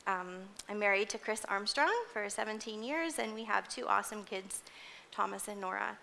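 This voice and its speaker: A woman's voice: medium pitch, normal speed, vocal fry, bright, forceful tone, slight background noise. A woman in her forties giving a personal, informal sermon as a church music leader, speaking in an earnest, conversational and somewhat hesitant way. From grew up in Indonesia as a missionary kid, later moved to Canada. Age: 40s.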